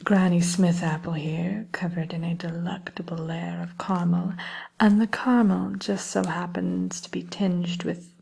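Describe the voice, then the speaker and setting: A sly evil queen, played by a woman, tends her shop of cursed treats in an ASMR roleplay. Her voice is low and extremely breathy, mostly whispered. She speaks slowly and coyly, with genteel, teasing menace and a touch of loneliness. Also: steady tone, darkish tone, heavily acted. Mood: sly, proud. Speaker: roleplay shopkeeper addressing a customer.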